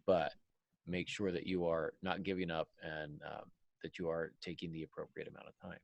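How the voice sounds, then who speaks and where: A man hosting a casual podcast discussion, giving writing advice in halting phrases and repeating points for emphasis. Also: sits low, flat delivery, gravelly, no echo, lo-fi sound. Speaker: podcast host and fiction writer.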